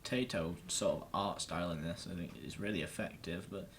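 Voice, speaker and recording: A man's voice: deep voice, a little fast, fairly bright tone. A man giving casual commentary as he plays a video game. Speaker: gamer, video series host. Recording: noisy, with game ambience behind. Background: video game audio.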